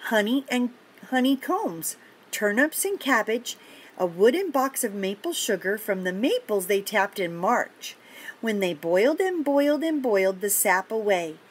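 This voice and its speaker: An older woman reading a picture book aloud to children, pausing for simple, encouraging chatty asides about the pictures. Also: mid-range voice, husky. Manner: encouraging, explains pictures simply to young listeners.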